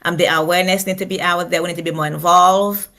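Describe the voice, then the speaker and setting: A woman's voice: fairly low pitch, steady tone, fast talker, pushed and bright. A woman from Haiti, speaking earnestly and proudly as a guest on a podcast, with plenty of fillers and restarts. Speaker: guest, financial professional. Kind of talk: conversational podcast interview.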